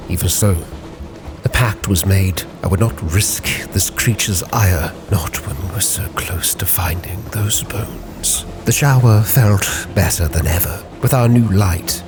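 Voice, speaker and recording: A man narrating a dramatic story as a sea captain reading his log, solemn and measured, with phrases that sink into a hush. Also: low-pitched. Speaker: narrator and roleplayer. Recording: music under the voice.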